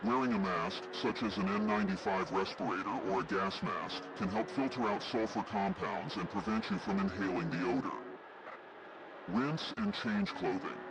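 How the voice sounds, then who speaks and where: A man playing a grave, official emergency alert announcer, reading in a formal, measured way. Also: fairly low pitch. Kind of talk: scripted emergency broadcast narration. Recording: loud noise behind the voice.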